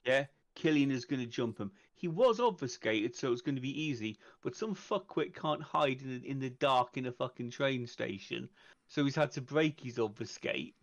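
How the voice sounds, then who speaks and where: A man's voice: mid-range voice, animated, moderate pace, no room sound, dull audio. A man playing a tabletop roleplaying game, talking casually in a blunt, bantering way.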